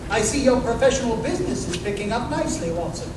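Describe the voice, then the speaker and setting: A man playing a keen, self-assured detective in old-time radio style, speaking in a brisk, precise, knowing way. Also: very high voice, expressive. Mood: deductive, brisk. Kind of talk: 1930s radio drama acting. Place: museum live performance space. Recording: very echoey room, distant mic, noisy.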